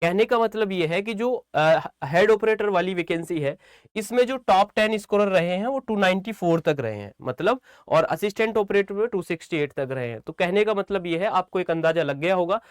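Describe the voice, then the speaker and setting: A man's voice: high-pitched, animated, moderate pace, clean tone, no room sound, clean studio sound. A man giving informal instructional talk to students as a coaching teacher, repeating phrases for emphasis and often checking that they follow.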